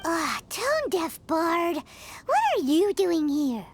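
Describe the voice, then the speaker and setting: A woman voicing a tiny, excitable female fairy companion in game dialogue who talks about herself in the third person. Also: extremely high pitch, slow, a little hiss or hum, exaggerated voice. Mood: wary. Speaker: companion character. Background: game music.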